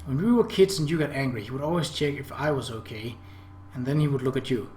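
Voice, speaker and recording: Man as a measured, possessive younger sister, echoey. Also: medium pitch, lively intonation, darkish tone, slight background noise, close to an everyday voice. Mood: calm, reasoning. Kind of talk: visual novel dialogue read-aloud.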